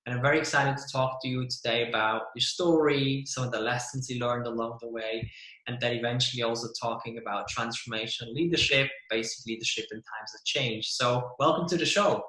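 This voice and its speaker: Bright-voiced male podcast host, roomy sound. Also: mid-range voice, steady tone, a little fast. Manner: enthusiastic, rambling questions. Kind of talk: interview hosting.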